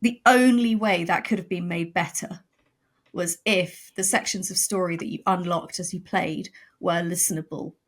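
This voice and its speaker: A woman writer talking as a podcast guest in casual chat, animated and self-mocking, often laughing. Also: lowish voice, normal speed.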